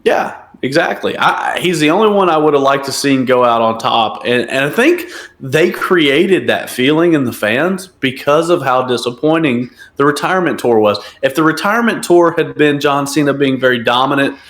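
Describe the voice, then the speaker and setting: A man's voice: mid-range voice, expressive, normal speed, fairly bright tone. Podcast co-host, male, emphatic and opinionated, recorded with a roomy echo. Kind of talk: casual wrestling podcast chat.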